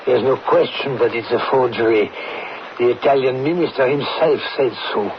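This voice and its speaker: A man in an old radio drama playing an earnest, assured ally who speaks in a measured, insistent way. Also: medium pitch, lightly acted. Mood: assured, accusing. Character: earnest, persuasive male ally, firm and assured. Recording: very noisy muffled old radio recording.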